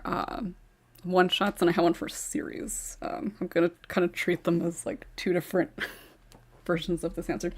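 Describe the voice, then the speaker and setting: A woman who writes ASMR scripts, chatting loosely on a podcast and joking along with the others. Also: lowish voice. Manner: laughs often, loose and joking. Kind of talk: casual podcast chat.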